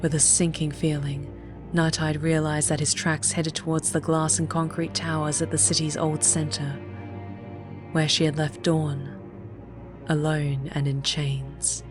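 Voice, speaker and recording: Female audiobook narrator, low register, measured and dramatic, reading fiction over a soft music bed. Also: level tone.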